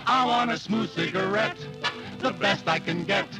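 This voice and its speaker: American men singing a swing advertising jingle as a vocal quartet on an old radio broadcast. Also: male voice, high-pitched, normal speed, loud music behind the voice.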